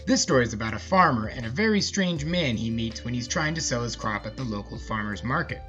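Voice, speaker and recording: A man's voice: medium pitch, expressive, fairly quick, a bit bright. A man hosting a podcast and telling a scripted story in a casual narrator's style. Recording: slightly muffled, music behind. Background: music.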